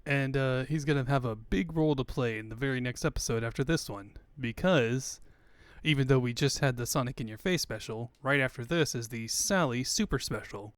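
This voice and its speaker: A man's voice: medium pitch, fairly quick, clean tone, dry recording. A man hosting a comic fandub with casual, chatty commentary, joking and swearing freely. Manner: jokey, sweary. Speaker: host and fandub reader.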